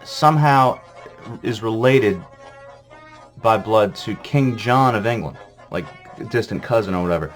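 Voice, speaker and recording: A man hosting a comedic history vlog, rambling and swearing through a list of presidents with lots of fillers, wired on coffee. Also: mid-range voice. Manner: rambling, profane, full of fillers. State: jittery from coffee. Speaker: vlog host. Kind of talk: irreverent comedic history rundown.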